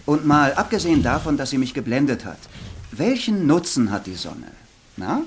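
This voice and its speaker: A man's voice: fairly high pitch, big pitch swings, normal speed, breathy edge. A man playing a patient, good-natured schoolteacher in a children's audiobook comedy, speaking in a hesitant, questioning classroom way. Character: patient, good-natured male schoolteacher fielding pupils' jokes. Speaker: teacher in an audiobook sketch.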